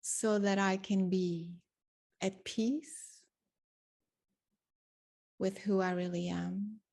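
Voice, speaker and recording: A woman leading a guided meditation, giving spoken instructions in deliberate short phrases with long pauses between them. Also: mid-range voice, steady tone, gravelly, a little mellow.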